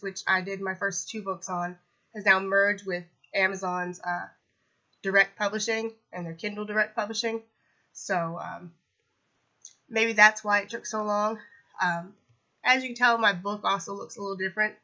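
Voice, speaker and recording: A young woman with a bright voice and a slightly sniffly, stuffed-up sound, chatting casually to camera about her writing. She rambles, filling her sentences with ums, likes and you knows. The recording is dull and lo-fi. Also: mid-range voice. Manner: rambling, lots of fillers like um and you know. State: congested from a cold, sniffly. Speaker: self-published author vlogging. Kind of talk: casual update vlog.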